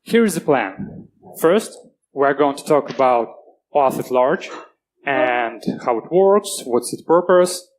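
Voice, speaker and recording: A man's voice: mid-range voice, lively intonation, poor audio. Man, Russian accent, mid-range voice, conference presenter, plain and earnest delivery. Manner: earnest, plain-spoken, halting restarts. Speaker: conference speaker. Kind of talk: technical conference talk. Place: conference stage.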